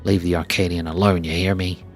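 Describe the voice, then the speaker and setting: A man's voice: low voice, level tone, brisk. A man voicing a hot-tempered, hostile fight fan from a video game, indignant and emphatic. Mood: angry, indignant. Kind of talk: scripted game dialogue read aloud. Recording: faint music behind.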